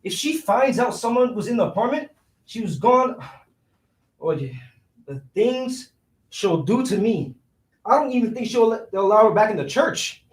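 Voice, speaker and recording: A man's voice: sits high, very animated, measured pace. An actor playing a scheming, broke young city man in a staged reading, talking in slangy, persuasive street phrasing. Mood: exasperated. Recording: roomy echo, distant microphone.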